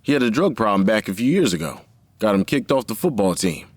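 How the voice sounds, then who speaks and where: An actor playing a worried, troubled middle-aged father in an audio drama, talking gravely and haltingly. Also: fairly low pitch, big pitch swings.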